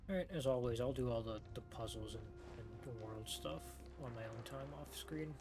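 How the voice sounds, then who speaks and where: Guy streaming a game, mid pitch, chatty n sweary, reads text out loud, music clearly behind him. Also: moderate pace. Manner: informal, sweary, thinking aloud. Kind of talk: casual playthrough commentary, reading game text aloud. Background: video game music.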